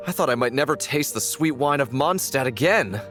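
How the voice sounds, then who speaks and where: An actor playing an earnest, defiant young knight. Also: male voice, mid-range voice, lively intonation, a little fast, a bit bright, faint music behind, close to an everyday voice.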